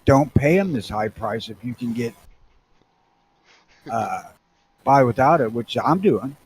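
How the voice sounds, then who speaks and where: A man's voice: medium pitch, lively intonation, unhurried, a little hiss or hum, rough recording. A blunt, opinionated man co-hosting a podcast, swearing freely in casual chat. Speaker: podcast co-host.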